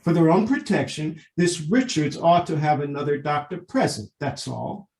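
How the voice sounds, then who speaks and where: Radio play role: blunt, grieving old father, seventies, distrustful and insistent, medium pitch, echoey room acted. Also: expressive, moderate pace, a little mellow, lightly acted. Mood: suspicious. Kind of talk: radio drama acting.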